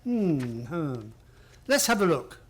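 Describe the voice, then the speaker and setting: Radio drama loyal gentleman sidekick: high male voice, very expressive, inquisitive, mildly hesitant. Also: takes it slowly, exaggerated voice. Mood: friendly. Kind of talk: radio play acting.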